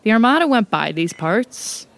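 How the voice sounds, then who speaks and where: A sceptical, probing investigator played by a woman in a roleplay audio drama. The voice is medium in pitch, dry and pointed in its questioning. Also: sing-song, moderate pace, gravelly, a bit bright.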